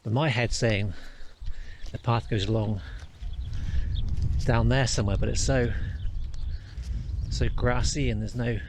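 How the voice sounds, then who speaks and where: A man's voice: medium pitch, steady tone, a little slow, pauses often, darkish tone, a little hiss or hum. A man narrating his hike for a walking vlog, thinking aloud with small restarts, recorded on a camera mic outdoors. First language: not a Welsh speaker. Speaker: hiking vlogger. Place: outdoors on a hillside in the countryside.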